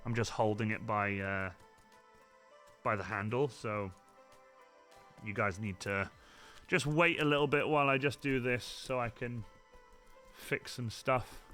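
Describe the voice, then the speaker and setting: Bright-voiced man streaming, swearing cheerfully. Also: mid-range voice, fairly even intonation, unhurried, pauses often. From Wales. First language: Welsh. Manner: chatty, sweary, reads chat aloud. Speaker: cosplay streamer. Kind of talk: casual live-stream chat while crafting. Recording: faint music behind.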